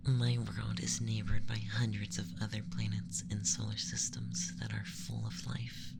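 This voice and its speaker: An ASMR performer voicing a calm, condescending young male alien abductor in a breathy, often whispered, gentle and formal monologue. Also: mid-range voice, moderate pace, over loud music. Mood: solemn, explanatory. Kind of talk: ASMR roleplay, scripted monologue.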